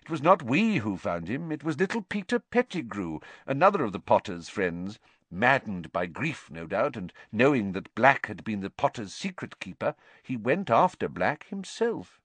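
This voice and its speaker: Man putting on a pompous minister, middle-aged, grave, fussy, raised swooping pitch, storybook character. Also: very animated, moderate pace, a bit bright, slightly rough, no echo. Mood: grave, sorrowful.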